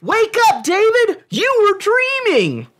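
Give me a woman playing an excited storybook character waking a boy on Christmas, very lively pitch. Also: slow, full character voice. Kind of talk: reading a picture book aloud.

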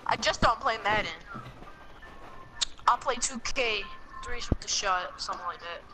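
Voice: mid-range voice, a little slow, poor audio. Slangy gamer friend over music. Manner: slangy, informal. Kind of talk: casual chat during a game.

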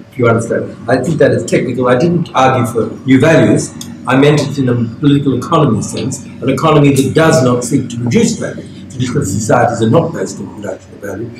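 Older man, panelist, blunt, emphatic, echoey, noisy background. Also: mid-range voice. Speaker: conference panelist. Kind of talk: academic panel discussion. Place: outdoor conference terrace.

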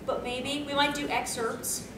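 Young woman, high voice, distant and echoey. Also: steady tone, measured pace. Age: young adult. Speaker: panel host. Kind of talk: casual unscripted panel talk with audience. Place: convention meeting room, on a stage. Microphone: distant camera microphone. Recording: distant, echoey, noisy camera recording.

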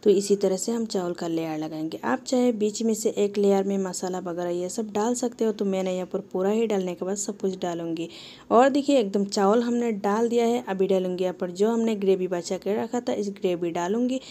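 A woman's voice: mid-range voice, fairly quick, mellow. A woman talking the viewer through a home cooking recipe step by step, in an instructive voice-over. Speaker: cooking channel host.

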